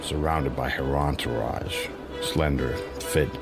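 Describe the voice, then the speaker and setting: A very low-voiced, secretive male criminal narrates a radio drama. He is measured and wryly understated, keeping cool throughout. Music plays clearly behind him. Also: fairly even intonation, heavily acted. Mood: wry, composed. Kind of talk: radio drama, first-person narration and dialogue.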